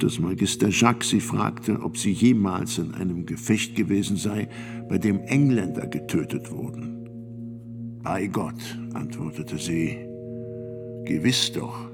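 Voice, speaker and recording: A deep-voiced older priest gives testimony in a radio drama. His voice is creaky and low, his delivery grave and deliberate, that of an uneasy medieval witness, with music clearly behind him. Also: expressive, exaggerated voice. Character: sixty-year-old male priest-physician, fearful, solemn medieval trial witness. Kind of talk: radio play testimony, measured acting.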